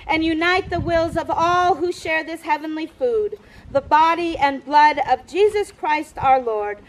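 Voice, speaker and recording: Woman pastor, 60s, high-pitched, speaking to an outdoor congregation; noisy camera audio from several metres off. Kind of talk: outdoor church service, communion liturgy and informal asides. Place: open grassy campground, outdoors. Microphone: camera several metres away.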